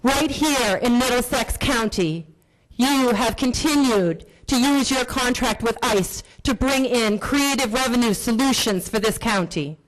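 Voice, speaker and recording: Woman giving a forceful accusatory public comment. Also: fairly high pitch, unhurried, rough recording. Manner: forceful, accusatory, repetitive rhetoric. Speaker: public commenter at county meeting. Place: county board meeting room.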